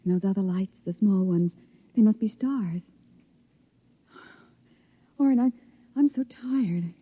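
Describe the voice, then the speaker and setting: An actress playing a young wife who survived atomic war, earnest and breathless, in 1950s radio drama style. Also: female voice, medium pitch, slow, breathy edge. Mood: weary, tender. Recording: muffled old radio recording.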